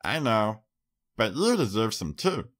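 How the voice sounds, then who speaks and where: An earnest young filly from an audiobook story, acted out by a man, pitched high and swooping up and down. The little girl pony speaks slowly and with caring, sweet insistence. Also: very animated, a bit bright, heavily acted. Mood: warm, caring.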